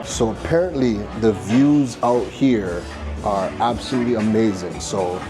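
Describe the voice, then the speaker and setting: A man from Trinidad hosts a casual travel vlog, chatty and quick to laugh. He talks from a busy rooftop bar, recorded on a handheld camera mic. Loud music plays behind him the whole time. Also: fairly low pitch. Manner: chatty, laughs often, excitable asides. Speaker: vlogger host.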